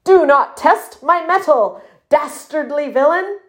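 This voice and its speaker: A woman's voice: sits high, takes it slowly, pitched above the performer's own voice. A woman voicing a small male puffin officer in a storybook, pompous and heroically defiant, declaiming like a military commander. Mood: defiant challenge.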